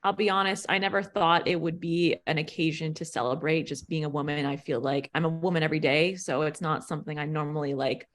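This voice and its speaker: A woman's voice: low voice, fairly even intonation, brisk, hardly any pauses. A woman chatting casually about herself in a group conversation.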